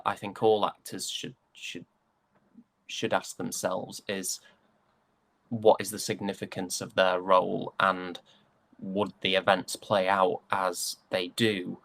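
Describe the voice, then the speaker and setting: A young man with a British accent speaks in a low voice, close and dry. He answers conversationally as an interviewee, thoughtful and hesitant, feeling his way toward each point. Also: little pitch movement, slow, a bit bright, no room sound. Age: young adult. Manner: thoughtful, hesitant, searching for words. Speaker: stage actor being interviewed.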